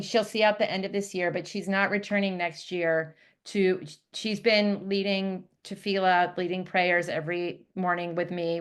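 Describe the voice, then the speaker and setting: A woman's voice: medium pitch, fairly bright tone. A woman presenting a school update on a video call, chatty and upbeat, with many fillers and restarts. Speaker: religious school director.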